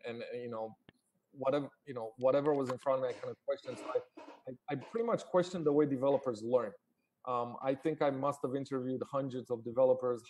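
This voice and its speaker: A man's voice: medium pitch, darkish tone, poor audio. A man in his forties, originally from Romania, talking casually as a startup founder guest on a live call, with many fillers and restarts.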